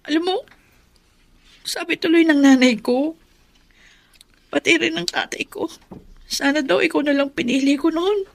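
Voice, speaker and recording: A woman's voice: high voice, measured pace, gravelly, long pauses. An actress playing a wistful young Filipino single mother in a radio drama. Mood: tearful, regretful.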